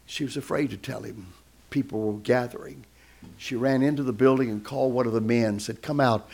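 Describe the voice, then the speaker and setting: A man's voice: mid-range voice, steady tone, a little fast, vocal fry, a little mellow. A man who is a guest speaker, telling a story in a sermon and acting out the voices of the people in it.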